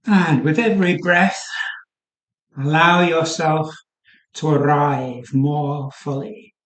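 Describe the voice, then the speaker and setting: Slow-spoken man guiding meditation, roomy echo. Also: a little high, steady tone, a bit bright. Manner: soothing, unhurried instruction with trailing pauses. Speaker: yoga nidra guide. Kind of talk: guided meditation.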